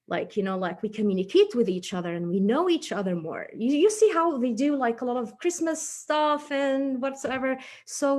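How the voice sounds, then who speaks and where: Animated woman hosting a children's craft session. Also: on the high side. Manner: chatty, encouraging, self-deprecating. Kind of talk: casual craft demonstration for children.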